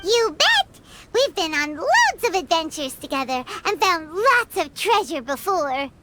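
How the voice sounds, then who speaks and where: A woman voicing a tiny, childlike fairy companion in a video game, squeaky, perky, feisty and quick to get indignant. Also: sing-song, sharp tone, a little hiss or hum, heavily acted. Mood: curious, perky. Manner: perky, indignant, refers to self by name. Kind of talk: cartoonish video game character acting. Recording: game music under the voice. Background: video game music.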